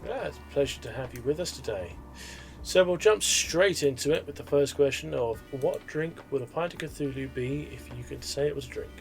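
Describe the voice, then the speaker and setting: A man's voice: on the high side, brisk, creaky, soft background music. A man interviewing casually as a podcast host.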